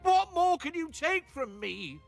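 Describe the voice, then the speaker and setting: Shrill, creaky, fretful mayor. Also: male voice, very animated, unhurried, faint music behind, full character voice. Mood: nervous pleading. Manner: pleading, fretful, theatrical. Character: panicky, guilt-ridden male mayor, whiny and dramatic.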